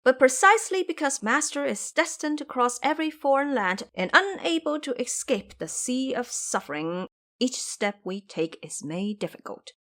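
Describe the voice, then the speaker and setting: A woman's voice: a little high, fairly bright tone. A woman voicing a clever, boastful male monkey warrior in an audio drama, brash and proud in manner. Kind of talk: audio drama character acting.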